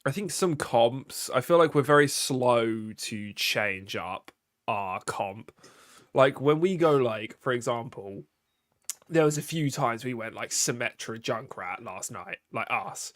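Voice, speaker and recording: Deadpan young man, dry close sound. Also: medium pitch, measured pace, dry recording. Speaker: podcast co-host. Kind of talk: casual chat.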